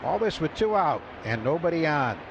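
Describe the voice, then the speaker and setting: American male baseball analyst, slightly high voice. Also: very animated, normal speed, creaky. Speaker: baseball color commentator. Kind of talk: radio sports analysis between calls. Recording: dull radio broadcast with loud background noise.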